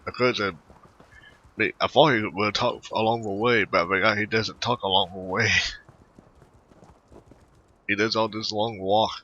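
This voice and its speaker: A man with a low but very sharp, bright voice narrates his game playthrough. He talks casually and haltingly, tripping over words, on slightly dull lo-fi audio. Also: fairly even intonation. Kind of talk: casual game commentary, reading game text aloud.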